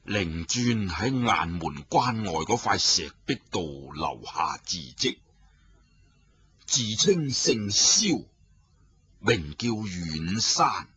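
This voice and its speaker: A man voicing an old male Buddhist abbot who speaks in a slow, solemn, heavy way. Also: low voice, very animated, a bit bright, breathy edge, pitched below the performer's own voice, full character voice. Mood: grave. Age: older.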